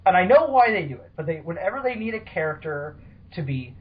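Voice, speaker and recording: A man's voice: high voice, big pitch swings, quick pace. A man hosting a casual fan discussion podcast, talking in an informal, rambling way. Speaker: podcast host. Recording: dull, lo-fi voice call audio.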